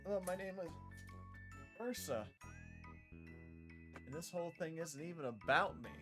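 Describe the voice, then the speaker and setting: A man's voice: fairly high pitch, animated, normal speed, music in the background, pitched above the performer's own voice, lightly acted. A man voicing a chatty, opinionated schoolgirl narrator in a visual novel, breezy and teasing as she addresses the listener. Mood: perky, teasing. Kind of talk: visual novel character read-aloud.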